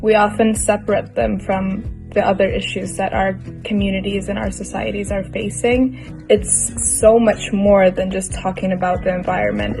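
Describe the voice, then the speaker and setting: Young woman from Ecuador, gravelly, hesitant advocate; soft music under. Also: medium pitch, low-quality recording. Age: young adult. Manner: hesitant, many restarts.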